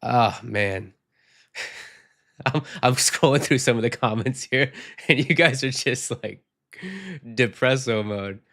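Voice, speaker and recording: Male podcast host, sick and hoarse, casual and rambling, reacts frankly to fan comments. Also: mid-range voice, takes it slowly, long pauses, fairly bright tone. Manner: frank, rambling, reads viewer comments aloud. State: sick, losing his voice. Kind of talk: casual postgame chat reading comments.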